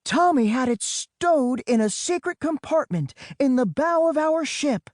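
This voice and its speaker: A man plays a heroic teenage big sister in a children's storybook, pitched well up from his narration. She calls out commands boldly and matter-of-factly, with a dry, close sound. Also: animated, unhurried, no room sound. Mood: confident, matter-of-fact. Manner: commanding, shouted delivery. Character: brave big sister, teenage girl, bossy and matter-of-fact.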